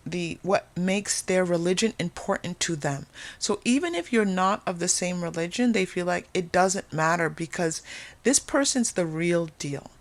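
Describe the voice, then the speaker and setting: A woman hosting an unscripted spiritual tarot reading, speaking earnestly and emphatically to viewers, often repeating and rephrasing her phrases. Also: medium pitch. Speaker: tarot reader hosting a channel.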